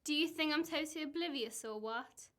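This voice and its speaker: Woman acting a high-voiced, suspicious, exasperated wife. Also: fairly quick, fairly bright tone. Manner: accusing, sarcastic. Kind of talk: amateur student radio play acting.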